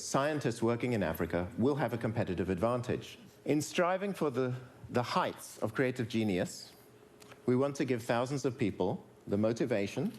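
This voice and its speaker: A man's voice: lowish voice, darkish tone, slight background noise. A man giving a prepared public talk, earnest and building to emphatic rallying phrases.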